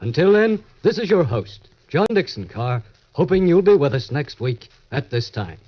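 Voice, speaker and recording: A man's voice: a little high, sing-song, moderate pace, a little hiss or hum. A man hosting a 1940s radio mystery show, delivering scripted lines in a formal, dramatic manner. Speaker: host. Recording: dull, lo-fi old radio recording.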